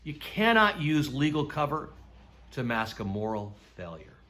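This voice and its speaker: A man's voice: mid-range voice, very animated, a little slow, pushed and bright. A man reading scripture aloud for a church, with emphatic, direct delivery.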